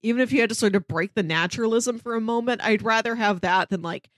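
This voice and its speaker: A woman's voice: a little high, fast talker, dry recording. A woman co-hosting a podcast, casually explaining her thoughts in loose, conversational sentences. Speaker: podcast co-host. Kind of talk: casual discussion and review.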